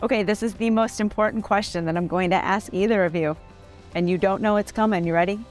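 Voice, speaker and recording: A woman's voice: mid-range voice, fairly even intonation, fast, soft background music. A woman hosting a casual interview chat, asking friendly, upbeat questions. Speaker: host.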